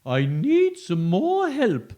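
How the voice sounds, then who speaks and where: A man voicing a spooky male ghost in a storybook, chanting in slow, drawn-out wails. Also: high voice, huge pitch swings, mellow, pitched above the performer's own voice, full character voice. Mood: wailing. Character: spooky male ghost, wailing, trying to wake a girl.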